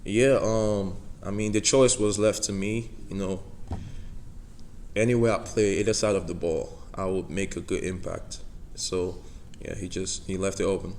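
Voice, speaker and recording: A young man with a Nigerian accent answering press conference questions in a soft-spoken way, filling pauses with "you know". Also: deep voice, steady tone, slight background noise. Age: young adult. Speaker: college football player.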